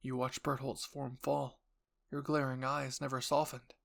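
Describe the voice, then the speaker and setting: A man's voice: mid-range voice, flat delivery, unhurried, vocal fry. A man reading dramatic scripted narration for a roleplay story, addressed to the listener in the second person.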